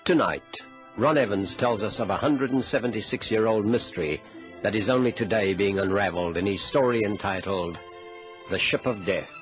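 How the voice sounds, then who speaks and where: A male announcer introduces a radio drama in a theatrical, scripted style. His voice is on the low side. Prominent music plays behind the slightly dull recording. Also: moderate pace. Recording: muffled radio recording under loud music.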